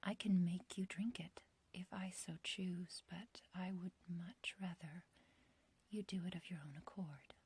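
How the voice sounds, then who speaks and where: Girl doing a super breathy whispery witch, obsessed and loving, slow old-timey talk, asmr roleplay. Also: fairly low pitch, steady tone, a little mellow. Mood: coaxing, possessive. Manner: slow, intimate, archaic theatrical phrasing. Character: ancient, youthful witch, obsessively loving and possessive.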